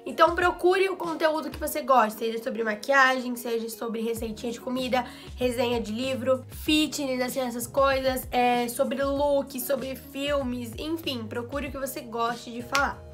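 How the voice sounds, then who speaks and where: A young woman with a high, bright voice, a little hoarse from a recent cold, chats through tips for her followers. She talks casually to the camera in a small bedroom studio, piling on fillers and asides, with faint music playing under her voice. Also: fairly even intonation. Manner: chatty, quick asides and fillers. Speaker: vlogger. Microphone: camera in front, head and shoulders framing.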